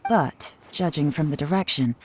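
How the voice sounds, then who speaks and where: Actress as a low-voiced, shrewd woman agent. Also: normal speed, a little hiss or hum, natural voice. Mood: assured. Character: shrewd, self-assured female intelligence agent, adult. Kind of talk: video game voice acting.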